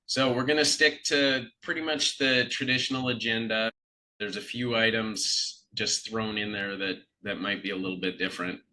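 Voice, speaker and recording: A man with a nasal, congested voice from a cold, giving a measured market update in a live webinar, often restarting phrases. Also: lowish voice, steady tone, bright, forceful tone, low-quality recording. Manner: measured, explanatory, with frequent restarts. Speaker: financial advisor.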